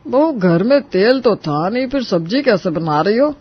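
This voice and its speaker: A man's voice: high-pitched, expressive, moderate pace, breathy edge, lo-fi sound. A man playing a stubborn, gullible old father-in-law in a cartoon moral story, with emphatic delivery. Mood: curious, trusting. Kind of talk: animated Hindi moral story dialogue.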